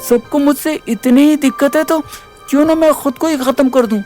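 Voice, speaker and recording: A woman's voice: high-pitched, moderate pace, darkish tone, faint music behind, lightly acted. A woman playing a distressed, hurt college-age young man in a Hindi audio drama. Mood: despairing.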